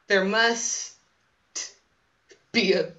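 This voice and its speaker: A woman's voice: mid-range voice, fairly even intonation, drawn-out words, pushed and bright, muffled recording. A woman co-presenting a storytime with playful, casual chat.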